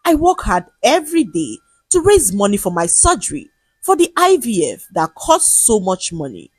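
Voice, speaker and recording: Woman acting a weary, pleading, gentle wife. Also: medium pitch, huge pitch swings, normal speed, husky, dry recording. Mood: hurt, pleading. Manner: quiet, pleading.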